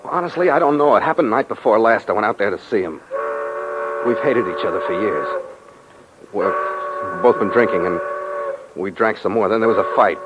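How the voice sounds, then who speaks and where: A man's voice: fairly low pitch, animated, normal speed, long pauses, natural voice. An actor with an American accent playing an anxious man under suspicion in a 1940s radio drama, urgent, clipped and confiding. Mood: confessing. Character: anxious man on the run, suspected by police. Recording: muffled, noisy old radio transcription.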